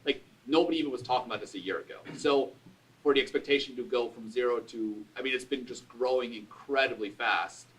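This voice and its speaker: A man's voice: medium pitch, fast, fairly bright tone. A man on a panel giving his views in a casual way, with lots of "like" fillers. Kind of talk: panel discussion. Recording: distant room mic.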